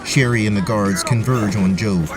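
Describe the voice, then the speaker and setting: A man's voice: on the low side, normal speed. A man narrating a scripted fantasy story as the game master of an audio drama.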